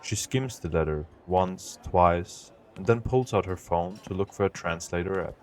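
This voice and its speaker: Deep-voiced man narrating evenly. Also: normal speed, a little hiss or hum. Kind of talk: scripted narration.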